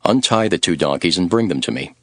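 A man playing a calm, authoritative religious teacher in a solemn, declarative way, in a dramatized scripture recording. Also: low voice, fast, dry recording, clean studio sound. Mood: calm, instructing. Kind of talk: dramatized Bible audio.

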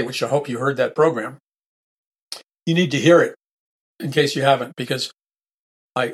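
A husky-voiced man hosts his own talk show, his throat hoarse and roughened. He preaches emphatically, circling back to repeat each point for the listener. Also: mid-range voice. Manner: emphatic and repetitive, restating points. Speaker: radio show host. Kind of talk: preaching talk-radio monologue.

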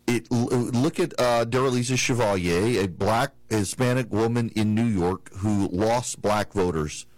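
A man's voice: fairly low pitch, unhurried, few pauses, dry recording, poor audio. Male talk radio host from the American South, conversational monologue, dry close mic. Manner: rambling, many restarts and fillers.

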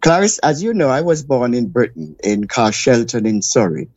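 An older man from Jamaica, a guest in an interview, recounting his childhood in a measured, reflective way. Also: medium pitch, big pitch swings, a little mellow, no echo, highs cut off. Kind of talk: interview storytelling.

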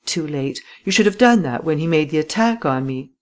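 A woman reading an audiobook voices a clever gentleman thief who gives brisk, imperious instructions in a slightly raised voice. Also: low voice, expressive. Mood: urgent. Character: cool, commanding gentleman thief, clever and persuasive.

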